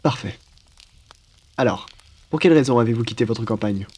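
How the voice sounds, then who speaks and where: A man playing a hospitable, wise phoenix in a French roleplay, speaking in a calm, reassuring and attentive way. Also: mid-range voice, moderate pace, breathy edge, close to an everyday voice. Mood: welcoming, reassuring. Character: wise hospitable male phoenix welcoming travelers into his cave. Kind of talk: French roleplay ASMR storytelling.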